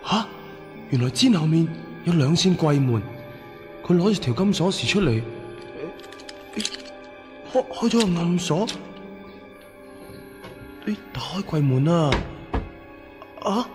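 Irreverent young scamp, slangy asides, high-ish lively voice, radio drama. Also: male voice, takes it slowly, long pauses. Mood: excited, curious. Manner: exclamatory, slangy, mocking. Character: cheeky, irreverent young rogue, commenting aside. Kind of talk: Cantonese radio drama acting. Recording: reverberant voice with music behind.

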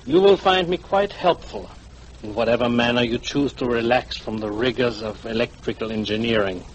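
Male actor as fawning, self-important guide, florid courtesy, mid pitch, vintage radio drama sound. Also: moderate pace, close to an everyday voice. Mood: oily, ingratiating. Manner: oily, flowery, self-important politeness. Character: big, weary, unctuous foreign tour guide, eager to please. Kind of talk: 1940s radio acting. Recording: old 1940s radio broadcast, noisy.

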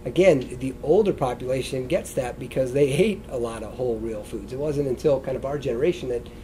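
A middle-aged American man gives an informal health talk, sounding mellow and a bit stuffed up with congestion. He speaks conversationally and wanders loosely between points. There is a faint rumbling noise behind him. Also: brisk, poor audio. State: congested, sniffly. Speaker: chiropractor giving a wellness talk. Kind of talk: informal seated lecture. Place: indoor stage beside a wooden lectern, seated.

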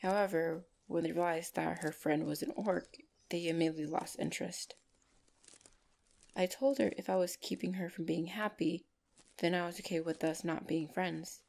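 A woman playing a gentle, shy orc lover in an ASMR roleplay, speaking softly and hesitantly with tender pauses. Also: on the low side, steady tone, gravelly. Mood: wistful, tender.

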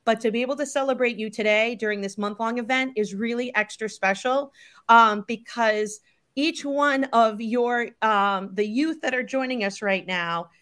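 Woman, fairly high voice, live webcast host, video call. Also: moderate pace, a bit bright. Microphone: computer video call.

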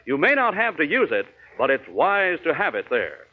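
A man's voice: fairly high pitch, wildly swooping pitch, normal speed. An American man reading a scripted commercial as a 1940s radio announcer. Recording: tinny narrow-band 1940s radio recording.

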